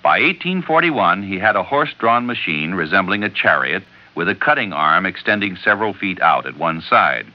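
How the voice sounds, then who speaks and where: A man's voice: sits low, big pitch swings, moderate pace, hardly any pauses. A man with an American accent reading scripted narration as an old-time radio announcer. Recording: muffled old radio broadcast.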